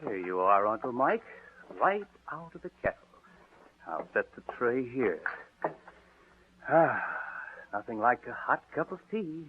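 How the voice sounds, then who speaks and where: An actor playing a scheming nephew, coaxing and falsely solicitous, in 1940s radio drama style. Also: male voice, medium pitch, big pitch swings, measured pace, pauses often. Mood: falsely cheerful. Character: scheming nephew feigning cheerful concern. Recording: tinny, noisy old radio recording.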